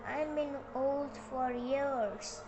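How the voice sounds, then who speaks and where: A woman's voice: high voice, steady tone, extremely slow, music in the background, exaggerated voice. A young girl voicing a dirty old whale in a storybook, politely pleading for help. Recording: noisy room with background ambience.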